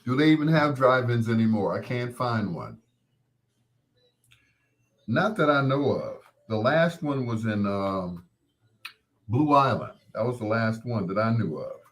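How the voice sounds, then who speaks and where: A man's voice: medium pitch, expressive, measured pace, pauses often. An American man in his sixties hosting a casual live chat, reminiscing in a rambling, conversational way and repeating points for emphasis. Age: 60s. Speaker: host.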